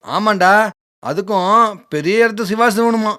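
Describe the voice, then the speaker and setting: A man's voice: high-pitched, measured pace, pushed and bright, clean tone. A man playing a food-loving, jaded young job seeker in a Tamil radio drama, casual and grumbling. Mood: cynical, resigned.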